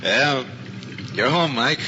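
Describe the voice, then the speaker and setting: A man's voice: mid-range voice, expressive, slow. An actor playing a grateful, wistful father, polite and sincere, in an old screen drama. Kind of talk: mid-century American screen drama acting. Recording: muffled old film soundtrack, clear hiss.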